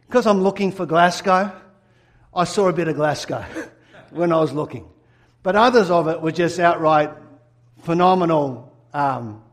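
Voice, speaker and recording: A middle-aged Australian man from Sydney, preaching a sermon and telling his own story earnestly, putting questions to the congregation. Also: on the high side. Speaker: pastor. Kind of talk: sermon with personal testimony.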